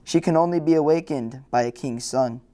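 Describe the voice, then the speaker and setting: A humble old peasant man from a storybook , as a narrator reads him. He is respectful and tells his tale in a mid-pitched voice. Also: a little fast, mellow, lightly acted.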